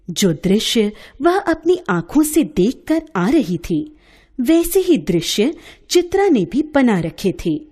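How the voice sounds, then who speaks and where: A woman's voice: medium pitch, warm tone, breathy edge. A woman storyteller giving a scripted narration of a moral story.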